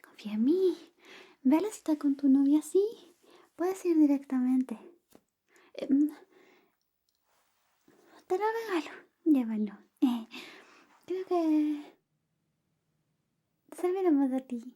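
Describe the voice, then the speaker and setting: A woman whispering softly and gently in an ASMR roleplay, playing a friend who grooms you. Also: sits high, animated, slow pace, long pauses. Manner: soft whispering, close and gentle.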